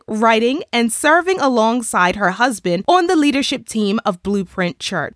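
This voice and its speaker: A woman's voice: on the high side, a bit bright, no echo, clean studio sound. A woman hosting a podcast, upbeat and welcoming as she reads her guest's bio and chats. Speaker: podcast host. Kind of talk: casual interview chat.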